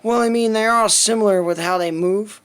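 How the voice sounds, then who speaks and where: A man's voice: high voice, normal speed, clear, resonant tone. A man with a Lithuanian accent, co-hosting a casual podcast chat and reading passages from a book aloud. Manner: reads passages aloud in a steady list-like way. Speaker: podcast co-host.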